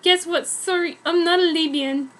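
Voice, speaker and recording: A woman's voice: very high voice, fairly even intonation, normal speed, a bit bright, pitched above the performer's own voice. A woman voicing a melodramatic, self-absorbed teenage girl from vampire fan fiction in an overwrought, exclamatory manner. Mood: awkward, pleading.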